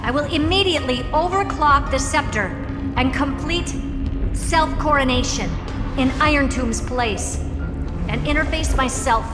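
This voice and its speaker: A woman's voice: sits high, measured pace. A woman playing a proud, commanding genius scientist in a video game, theatrical and self-assured. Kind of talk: dramatic video game voice acting. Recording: echoey hall reverb, loud music behind.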